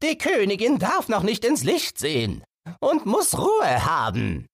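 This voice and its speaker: A man's voice: sits high, wildly swooping pitch, a little slow, breathy edge, no echo, clean studio sound, voice pushed up, heavily acted. A man voicing a wicked, bossy old witch woman in a fairy-tale audiobook. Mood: bossy, urgent.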